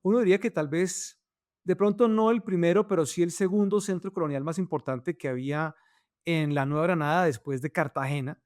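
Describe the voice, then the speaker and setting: Brief: male co-host, higher voice, halting casual talk with fillers. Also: steady tone, a little mellow. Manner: hesitant, with many fillers and restarts. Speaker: podcast co-host.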